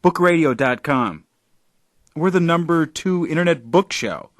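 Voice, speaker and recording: An actor playing a pushy, sardonic boss of a struggling internet radio company in an audio drama. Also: male voice, fairly high pitch, lively intonation, a little slow. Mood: businesslike.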